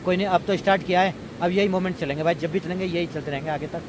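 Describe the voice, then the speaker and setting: A man narrates a casual camping vlog in a fairly high voice. He talks informally, as if to friends. Clear river noise runs behind him in the open air. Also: fairly even intonation. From India. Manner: chatty, informal, addresses viewers as friends. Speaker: vlog host. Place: outdoors at a riverside campsite. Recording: noisy outdoor recording, rushing river. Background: loud ambient river noise.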